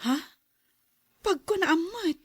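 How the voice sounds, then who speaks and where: A woman playing a patient, understanding family member in an audio drama, speaking in an unhurried, soothing manner. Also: extremely high pitch, animated, dry recording, full character voice. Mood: concerned, understanding. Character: patient woman accepting of an elder's decline. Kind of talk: Ilocano audio drama acting.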